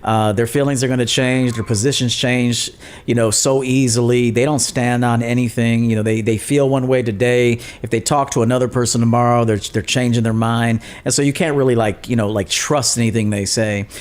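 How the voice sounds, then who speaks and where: A man's voice: medium pitch, fairly even intonation, a little fast. Blunt man giving advice. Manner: blunt advice-giving, repeats words and fillers. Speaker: coach and podcast guest. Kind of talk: casual podcast interview.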